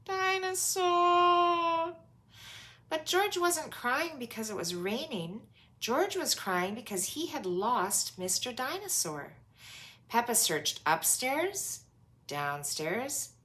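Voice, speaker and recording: A woman reading a children's storybook aloud in an expressive, emphatic way, drawing out words for young listeners. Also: a little high, slow.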